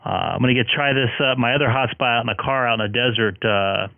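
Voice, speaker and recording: A hoarse man from southern California, chatting on the air with another radio operator, rambling and filler-heavy. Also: medium pitch, fast talker, no echo. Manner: friendly, rambling, many filler sounds. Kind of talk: casual on-air radio chat. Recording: phone-like radio transmission.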